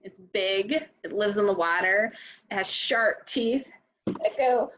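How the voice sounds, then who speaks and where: A woman hosting a children's storytime, reading and chatting deliberately and putting questions to young listeners. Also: mid-range voice, a bit bright, lo-fi sound, slight background noise. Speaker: children's librarian storytime host. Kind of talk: children's read-aloud and chat.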